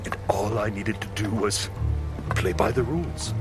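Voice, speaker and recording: A man's voice: lowish voice, normal speed, lightly acted. An actor playing a smug, gloating businessman villain in a radio drama, speaking in a pompous, taunting, theatrical way. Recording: music under the voice.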